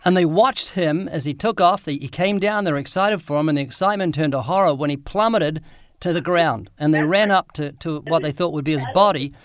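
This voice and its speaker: A man with a New Zealand accent and a high-pitched voice. He chats with a stranger on the street, telling a story and stumbling over repeated words. He sounds friendly and talkative. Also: brisk, no echo. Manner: chatty, storytelling, repeats words while searching. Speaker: street evangelist, show co-host. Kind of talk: street witnessing interview.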